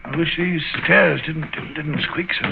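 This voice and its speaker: A man acting a loyal, anxious English gentleman sidekick in an old radio drama, blustering and hesitant. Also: on the high side, steady tone, a little slow, vocal fry. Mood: nervous. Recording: tinny, noisy old radio recording.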